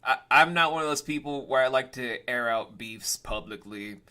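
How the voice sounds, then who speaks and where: A man in his late twenties with a bright, forceful voice hosts a relaxed interview. He is blunt and sarcastic, cursing freely as he talks. His pitch sits in the ordinary middle range for a man. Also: moderate pace, clean tone. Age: late 20s. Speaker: podcast host interviewing a voice actor. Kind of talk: casual interview chat.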